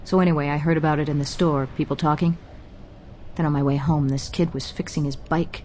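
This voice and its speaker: Radio play ex-soldier mother, played by a woman: very low, brooding, dangerous, faintly noisy scene. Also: fairly even intonation, brisk, mellow, close to an everyday voice. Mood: haunted brooding. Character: aggressive, unstable female ex-soldier, a mother. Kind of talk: audio drama acting. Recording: audio drama clip with faint noise.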